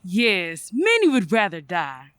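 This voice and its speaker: A woman's voice: mid-range voice, huge pitch swings, takes it slowly, fairly bright tone, clean tone, dry recording, clean studio sound, exaggerated voice. A woman playing a polite, earnest charity collector in an old-time radio play, courteous and formal. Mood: formal, disappointed.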